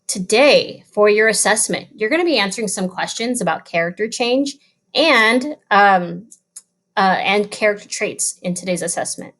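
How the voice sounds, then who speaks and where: A woman's voice: medium pitch, a bit bright. A woman schoolteacher giving an informal recorded lesson, talking pupils through questions step by step. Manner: instructive, directly addressing pupils, step by step. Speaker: elementary school teacher.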